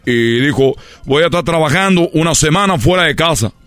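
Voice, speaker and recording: A man's voice: on the high side, expressive, brisk, a bit bright. A man doing a brash Cuban ballplayer. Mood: boastful. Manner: rambling, boastful, stumbles over words. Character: boastful Cuban baseball player man, brash joker. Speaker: comedy character on a radio show. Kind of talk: comedic radio sketch.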